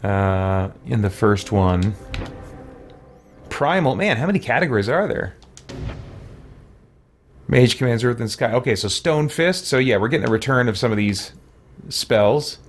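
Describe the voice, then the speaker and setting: A man's voice: mid-range voice, animated, a little slow, pauses often, soft background music. Man thinking aloud through a video game. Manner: mutters to himself, reading game text aloud between musings. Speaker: let's play host. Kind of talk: casual gaming commentary, thinking aloud.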